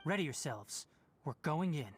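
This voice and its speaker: An actor playing a calm, practical young male forest ranger in a video game, measured and instructive. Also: on the high side, a little hiss or hum, lightly acted. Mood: focused, wary.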